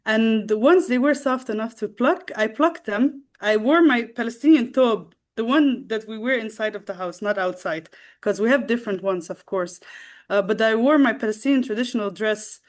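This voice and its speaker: Young woman, halting and earnest. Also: fairly high pitch. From Netherlands. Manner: halting, with many fillers and restarts. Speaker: guest. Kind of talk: casual storytelling.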